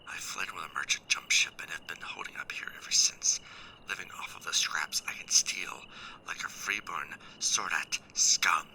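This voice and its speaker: A very deep-voiced soldier acted by a man, close to a whisper and sharply edged. He is a proud, bitter survivor of a lost unit in a sci-fi audio drama, speaking with solemn formality. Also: steady tone, normal speed, gravelly, heavily acted, rough recording. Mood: bitter, resigned. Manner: hushed, solemn, formal warrior speech. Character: stranded clan mech warrior, proud, bitter, grieving survivor. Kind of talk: ASMR sci-fi audio roleplay.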